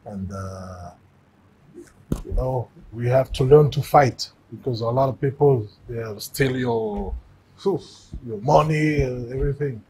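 A French-accented man in his forties talks in short, halting phrases, stopping often to search for words. His voice is mellow and husky. He sits in a boxing ring inside a gym. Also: mid-range voice, rough recording. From Cameroon. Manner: halting, simple phrasing with many fillers. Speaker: guest, former fighter. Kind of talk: casual interview.

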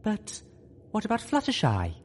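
A refined, heartfelt mare from a storybook, voiced by a male reader. The pitch sits high above a man's usual talk, with a pleading manner. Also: wildly swooping pitch, moderate pace, a little hiss or hum. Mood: hesitant, pleading. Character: Velvet Remedy, refined female pony, doubtful, pleading, heartfelt.

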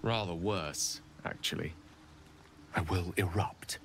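An actor playing an eloquent, self-deprecating wizard in a video game, speaking in a theatrical, articulate way. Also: male voice, deep voice, slow pace, a little hiss or hum, heavily acted. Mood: grave. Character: wizard man, eloquent, wry, melancholy. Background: soft game music.

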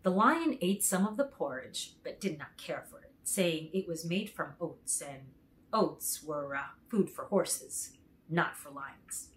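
A middle-aged woman reading a children's story aloud in an expressive, clearly paced way. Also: sits low, slow, slight background noise, rough recording. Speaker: storytime reader.

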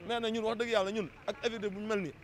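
A high-voiced Senegalese man gives his views in a street interview, speaking fast and emphatically. He repeats words as he presses his point. City street noise is clearly heard around him. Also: level tone. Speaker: street interviewee, football fan. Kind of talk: impassioned street interview opinion. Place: busy city street, outdoors. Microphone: handheld interview microphone. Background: traffic and street ambience.

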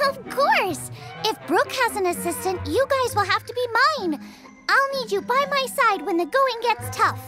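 A woman voicing an excitable, bossy teenage girl chef in a video game, eager and exclamatory. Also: extremely high pitch, normal speed, music in the background. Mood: insistent.

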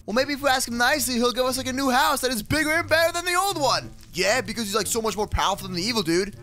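High-pitched, wisecracking young adventurer, acted by a man. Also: expressive, fast, pushed and bright, soft background music, heavily acted. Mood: upbeat. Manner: slangy, jokey, stammering. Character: excitable, wisecracking young adventurer with a pet companion. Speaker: YouTube roleplay creator. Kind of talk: improvised game roleplay acting.

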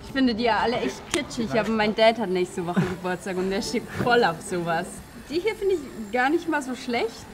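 A woman's voice: fairly high pitch, lively intonation, normal speed, hardly any pauses, music in the background, close to an everyday voice. A young woman playing a confident, teasing newcomer in film dialogue, with a playful, forward manner. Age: young adult. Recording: clearly noisy location background.

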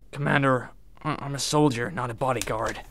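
An actor playing a brooding, weary werewolf warrior from space in a science-fiction audio drama, terse and grumbling. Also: male voice, medium pitch, fairly quick, a bit bright, slight background noise, natural voice. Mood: impatient. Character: brooding battle-worn alien werewolf warrior, defiant and weary.

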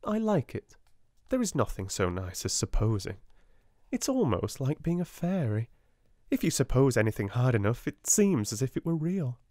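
A man voicing a bright, imaginative young girl in a read-aloud storybook, softly lifting his voice. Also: medium pitch, big pitch swings, moderate pace, dry recording, clean studio sound, voice pushed up, lightly acted. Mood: dreamy.

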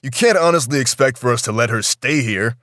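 A man playing a cautious, stern ally of the hero in an audio drama, formal and measured. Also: medium pitch, a bit bright, dry recording, clean studio sound, natural voice.

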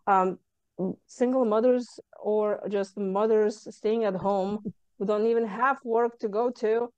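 A young woman with a Lithuanian accent talks as a podcast guest, earnest and explaining with frequent fillers. Her voice is warm and smooth, recorded dry on a webcam over a video call. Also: medium pitch, fairly even intonation, slow, dry recording, low-quality recording. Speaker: guest, stress management coach. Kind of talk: casual interview chat.

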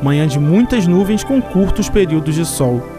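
A man in his thirties reading the news as anchor in a studio, with a steady, formal delivery. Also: fairly high pitch, normal speed, music in the background. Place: TV studio desk.

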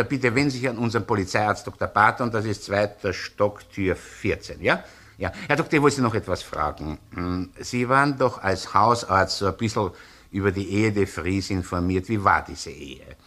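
Gruff viennese police inspector, low sharp forceful voice, sardonic, shrewd, old crime drama style. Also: male voice. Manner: sardonic, interrogating, hesitant muttering between questions. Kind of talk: naturalistic crime radio or TV drama acting.

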